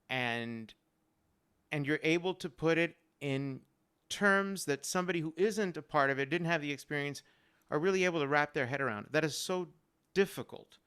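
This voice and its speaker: Clear-voiced man telling stories earnestly. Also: fairly high pitch, moderate pace, fairly bright tone. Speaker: panel guest. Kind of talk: earnest personal storytelling in a live online panel. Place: remote live-stream video call.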